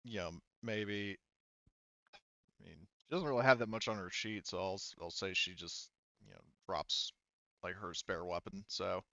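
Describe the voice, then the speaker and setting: A man working as a game master, running a tabletop roleplaying game casually and thinking aloud in a halting way. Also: low voice, steady tone, gravelly, bright, forceful tone, dry recording, highs cut off.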